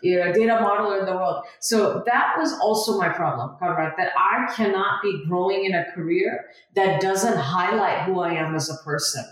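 A woman's voice: lowish voice, moderate pace, few pauses. A young woman from India, a guest in a casual interview, talking earnestly with frequent "you know" fillers. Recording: very echoey room, far from mic.

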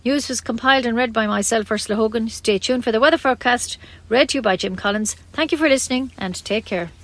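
Higher-pitched Irish woman reading local news. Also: fast talker, fairly bright tone. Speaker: community radio newsreader.

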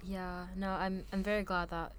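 A woman's voice: medium pitch, little pitch movement, normal speed, slight background noise. A young woman from France, a podcast guest, telling stories in casual conversation with many filler words. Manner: chatty, lots of "like" fillers.

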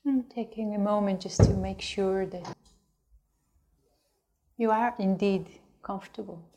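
Very slow-speaking woman guiding meditation. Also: medium pitch, fairly even intonation. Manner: gentle, unhurried delivery with long pauses. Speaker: meditation teacher. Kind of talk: guided meditation.

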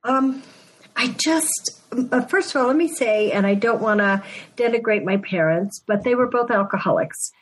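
A woman's voice: a little high, low-quality recording. A woman in her sixties from Denver, telling her story earnestly as a podcast guest. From Denver, Colorado. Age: 60s. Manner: earnest storytelling, quick laughs. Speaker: guest, author and Christmas elf. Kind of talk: conversational podcast interview.

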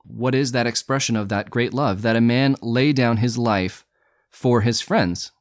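A man on a Bible study podcast, explaining Greek word roots in a measured, teacherly way. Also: mid-range voice, no echo, studio quality. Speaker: podcast co-host.

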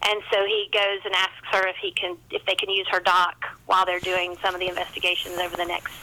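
A woman's voice: fairly low pitch, completely flat delivery, quick pace, pushed and bright, slight background noise, low-quality recording. A woman talking casually as an author guest in an interview, chatty and self-deprecating.

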